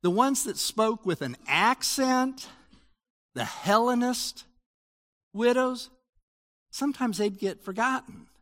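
Animated man preaching in a reverberant hall. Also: very high-pitched, slow, pauses often. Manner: animated, conversational preaching with restarts. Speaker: pastor. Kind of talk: sermon.

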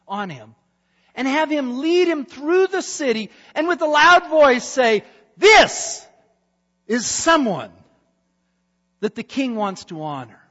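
A man's voice: extremely high pitch, husky, voice pushed up, full character voice. A man voicing an ambitious, scheming royal official who proposes grand honors eagerly and with great pomp. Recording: dull reverberant hall sound.

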